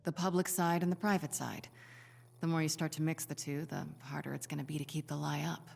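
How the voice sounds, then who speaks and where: A woman voicing a cool, seasoned spy and mentor in a video game, calm, dry and reassuring. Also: low-pitched, brisk, creaky, breathy edge, long reverb tail, full character voice.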